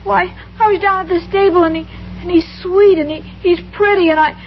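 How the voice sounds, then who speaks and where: An actress in a 1950s radio sitcom playing a naive, scatterbrained young secretary who talks earnestly and pleadingly. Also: female voice, very high-pitched, fairly even intonation, brisk, slight background noise. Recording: dull lo-fi 1950s radio broadcast. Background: studio audience laughter.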